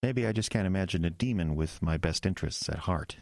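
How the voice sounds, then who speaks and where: An actor playing an earnest, anxious young male pastor in an audio drama, speaking in a measured, tense manner. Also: low voice, a little mellow, dry recording, studio quality, lightly acted. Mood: firm, suspicious. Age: young adult.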